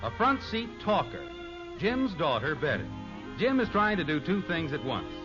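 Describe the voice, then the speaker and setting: American male narrator, vintage radio style, highish voice, very lively intonation, music behind, dull recording. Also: normal speed. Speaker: announcer and narrator. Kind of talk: 1950s radio scripted narration.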